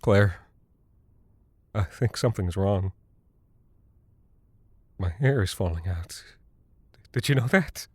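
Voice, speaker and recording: A man acting a guilt-ridden, delusional father and space pilot in a horror audio drama, halting and stammering as he rambles. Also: sits low, lively intonation, slightly rough, no room sound, exaggerated voice. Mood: drained, confessional.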